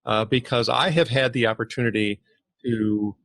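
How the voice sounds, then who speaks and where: A man's voice: mid-range voice, steady tone, normal speed, fairly bright tone, dry recording. A man hosting a podcast who talks in a loose, easygoing way and leans on "you know" a lot. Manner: filler-heavy, loose phrasing with "you know". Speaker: podcast host. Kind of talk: scripted intro then casual interview chat.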